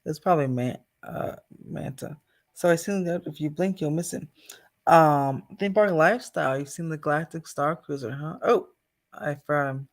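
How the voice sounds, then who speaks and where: A man's voice: on the high side, moderate pace. A man hosting a casual livestream, chatting off the cuff and addressing viewers. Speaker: channel host.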